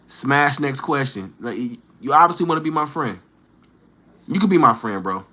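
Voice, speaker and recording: Dude ribbing trolls in his live chat, slangy, hits em with quick questions, bright punchy voice. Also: medium pitch, animated. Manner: teasing, challenging, rapid-fire questions; slangy street talk. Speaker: livestreamer. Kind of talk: casual livestream banter with chat.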